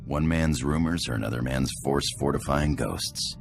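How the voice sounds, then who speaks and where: Gravelly, very deep roguish space pirate. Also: male voice, normal speed, soft background music. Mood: casual, wry. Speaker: pirate companion. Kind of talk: video game cutscene acting.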